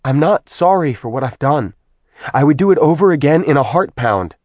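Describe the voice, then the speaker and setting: A man's voice: on the high side, lively intonation, moderate pace, darkish tone, dry recording, pitched above the performer's own voice. A man voicing a haughty, vain goddess who is cold and proud, with a commanding manner. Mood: defiant.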